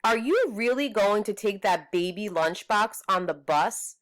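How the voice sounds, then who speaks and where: A woman's voice: medium pitch, expressive, measured pace, natural voice. A woman reading a storybook as a teasing, contrary young boy with a cheeky, needling manner.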